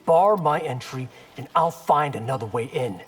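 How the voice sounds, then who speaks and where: A man's voice: medium pitch, animated, normal speed, slightly rough. An actor playing a cocky, determined young male warrior in a video game, with a terse, wry and defiant manner. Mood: firm, defiant. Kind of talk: video game hero acting.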